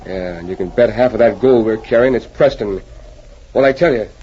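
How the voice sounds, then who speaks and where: A man's voice: on the low side, fairly quick, slightly rough. Edgy outlaw henchman, muffled sound. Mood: tense. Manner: edgy, terse, folksy tough talk. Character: wary, uneasy male outlaw henchman in the Yukon. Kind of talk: 1940s radio adventure acting. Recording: muffled, noisy 1940s radio recording.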